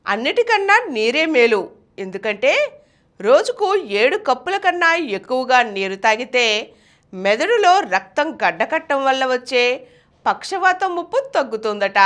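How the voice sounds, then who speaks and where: A woman's voice: high-pitched, a bit bright. A woman news reader, delivering a scripted news bulletin.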